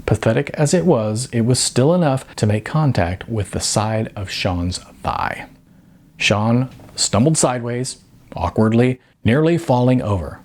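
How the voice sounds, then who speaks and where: Man, audiobook narrator, mid-pitched, husky, expressive intonation, scripted storytelling. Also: unhurried.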